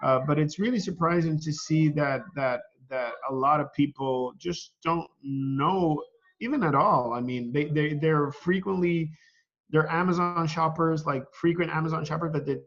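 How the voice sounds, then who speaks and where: A man from Venezuela, a guest on a casual call interview, talking in a halting way and repeating words as he thinks. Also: fairly high pitch, fairly bright tone, highs cut off. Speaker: guest, online seller.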